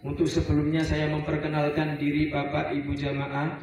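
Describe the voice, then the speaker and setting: A man from Surabaya preaching a relaxed Eid sermon to a housing community, rambling at times and mixing in Arabic prayer phrases. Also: a little high, normal speed. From Surabaya, Indonesia. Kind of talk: Eid sermon to a residential congregation. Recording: very echoey, heard from a distance over a PA.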